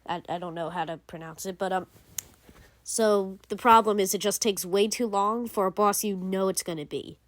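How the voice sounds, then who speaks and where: A woman's voice: mid-range voice, normal speed, dry recording. A young woman hosting a gaming channel, giving casual, rambling game commentary full of fillers and restarts.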